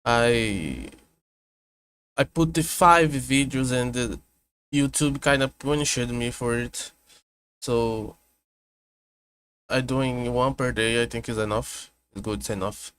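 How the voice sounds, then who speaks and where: A man hosts a casual vtuber stream at home. He speaks slowly at a middle pitch, often stopping to restart or correct his words as he thinks aloud. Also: fairly bright tone, clean tone. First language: Portuguese. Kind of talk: casual reaction stream chat. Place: home room with air conditioner hum.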